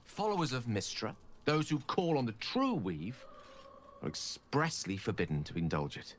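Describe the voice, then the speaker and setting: A man's voice: medium pitch, sing-song, moderate pace, a little hiss or hum, lightly acted. A man playing a learned, courteous wizard in a video game, speaking in articulate, formal, bookish phrases. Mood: grave lecturing. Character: learned, eloquent male wizard, courteous and grave. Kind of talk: video game character dialogue.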